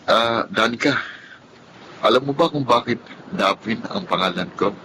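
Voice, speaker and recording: Filipino kuya in radio drama, gentle n earnest, kinda low voice, noisy ambience behind. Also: male voice, a little slow. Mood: teasing, curious. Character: blind adoptive older brother, gentle, earnest, protective. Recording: clear background ambience noise.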